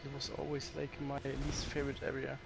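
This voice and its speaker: A man's voice: mid-range voice, completely flat delivery, a little fast, creaky, noisy background. A man with a German accent chatting casually to his viewers while he plays, swearing when the game frustrates him. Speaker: streamer. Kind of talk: casual gameplay commentary to chat.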